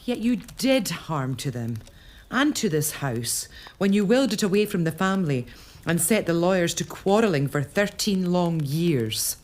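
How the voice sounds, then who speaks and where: An actress playing a composed early-1800s lady of a castle, speaking in a measured, gently defiant way. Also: lowish voice, very animated. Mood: reflective. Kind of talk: spoken monologue to a ghost.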